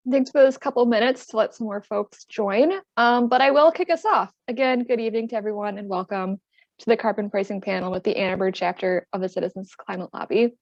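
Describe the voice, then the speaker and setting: A woman's voice: medium pitch, a little fast, no echo. A woman hosting a webinar on a video call, welcoming attendees and reading prepared remarks with frequent "um"s. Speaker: webinar host. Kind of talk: hosting an online panel. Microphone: computer mic on a video call. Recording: dull, dry video-call audio.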